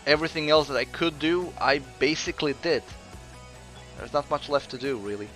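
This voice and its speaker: A man from Croatia with a mixed Croatian accent, chatting casually while streaming a game and answering viewers, with frequent pauses. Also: a little high, bright, forceful tone, soft background music, low-quality recording. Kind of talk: casual gameplay commentary.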